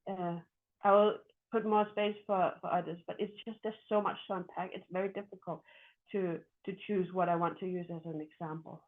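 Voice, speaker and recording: A woman's voice: fairly low pitch, steady tone, fairly quick. A woman from Greenland speaking earnestly as a panelist in a discussion, with frequent hesitations. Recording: tinny phone-like video call audio.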